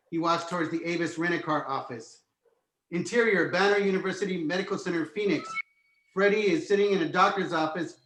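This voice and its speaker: A man's voice: high voice, a bit bright. A man narrating a screenplay's stage directions as scripted reading, recorded in an echoey room.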